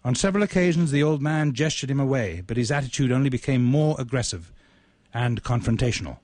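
A man reading his own literary short story aloud in a deliberate, measured, ornate manner. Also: medium pitch, animated, a little mellow, dry recording.

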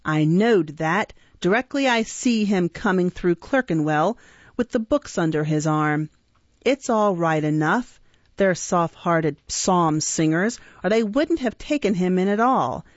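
A woman reading an audiobook, voicing a brutal grown male housebreaker who speaks in a gruff, blunt, menacing way, on a dull, dry recording. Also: fairly low pitch, animated, unhurried, dry recording. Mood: dismissive.

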